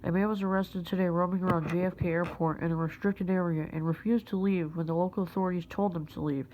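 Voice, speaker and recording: Woman playing a flat-toned, lowish female news anchor. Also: quick pace, a little hiss or hum, close to an everyday voice, low-quality recording. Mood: composed, serious. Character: composed female TV news anchor reading a special report. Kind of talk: scripted news report.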